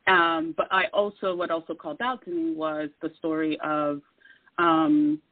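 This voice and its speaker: A guest on a radio interview speaks earnestly and steadily, pausing on fillers between thoughts. The voice sits at a middle pitch. The recording is dry and narrow, like a phone call. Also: level tone, dry recording. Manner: earnest, measured, with many fillers. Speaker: guest artist. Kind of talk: casual interview talk.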